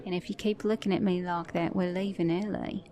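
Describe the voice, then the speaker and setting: A woman's voice: fairly low pitch, fairly even intonation, fairly quick, warm tone, lightly acted. Flirty, sassy woman mechanic played by an actress. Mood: flirty, teasing. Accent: British or Australian. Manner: teasing, intimate, addressing the listener as a date. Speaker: ASMR roleplay voice actor. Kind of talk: ASMR romance roleplay.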